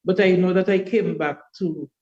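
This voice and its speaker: A woman, a podcast guest telling her life story in a reflective, unhurried way. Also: fairly low pitch, steady tone, warm tone, dull audio. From Dominica. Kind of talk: personal life story in an interview.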